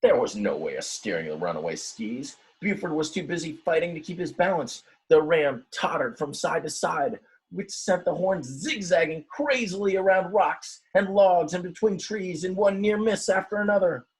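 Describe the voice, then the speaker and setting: A man reading a children's storybook aloud in a dramatic, expressive way, with simple explanatory asides for young listeners. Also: a little high, normal speed, breathy edge, poor audio. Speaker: teacher reading a picture book aloud.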